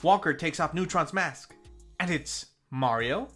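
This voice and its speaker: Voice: mid-range voice, animated, a little slow, a bit bright, faint music behind. A film review host narrating a scripted recap in a casual, playful storytelling manner.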